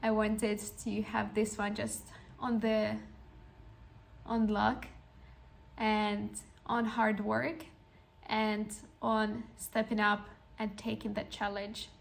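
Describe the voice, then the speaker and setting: Young woman with a creaky, flat voice. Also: mid-range voice, takes it slowly. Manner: earnest and encouraging, with frequent restarts. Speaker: podcast host. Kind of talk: reflective personal storytelling monologue.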